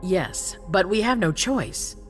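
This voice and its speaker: A grave and resolute female vampire prince, rules her city with formal, measured speech. Her delivery is authoritative and dramatic, in video game cutscene style, over music. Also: lowish voice, expressive. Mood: measured, persuasive.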